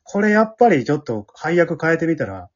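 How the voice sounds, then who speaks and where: A person with a Kansai Japanese accent chatting casually and animatedly as leader of an amateur radio drama troupe, explaining things in a husky voice. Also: mid-range voice, big pitch swings, brisk. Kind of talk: casual after-show chat. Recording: dull lo-fi podcast audio.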